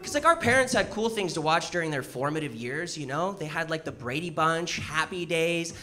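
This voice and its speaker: An American man in his thirties doing stand-up comedy, telling stories in a casual, animated way and acting out bits of dialogue. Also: on the high side, a little fast. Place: indoor comedy show on stage before an audience. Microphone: handheld microphone. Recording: live stage mic, loud audience noise. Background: audience laughter and noise.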